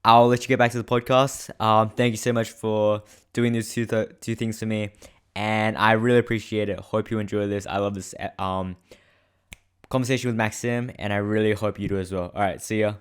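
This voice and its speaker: Young man, late teens, low voice, smooth, upbeat pleading promo. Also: little pitch movement, brisk. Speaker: podcast host. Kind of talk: direct-to-listener promo.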